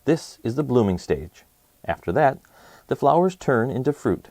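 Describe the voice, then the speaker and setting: A man hosting a children's read-aloud, presenting an educational book in clear, simple phrases for young listeners. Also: medium pitch, sing-song, moderate pace, a little mellow, no room sound.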